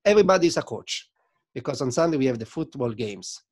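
A man in his thirties with an Italian accent, guest on a video call interview, telling stories in a lively, gesturing way from a home studio. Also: mid-range voice, fast talker. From Italy. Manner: animated, gesturing, non-native phrasing. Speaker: sound system selector, guest. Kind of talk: casual interview storytelling. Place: home studio room with record shelves. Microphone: desk mic on video call.

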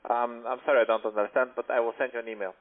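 A man's voice: mid-range voice, little pitch movement, fast talker. A man working as a cold caller, speaking hesitantly and apologetically. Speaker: cold caller for trading firm. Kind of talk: scripted call-centre talk, then apologetic. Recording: tinny phone line.

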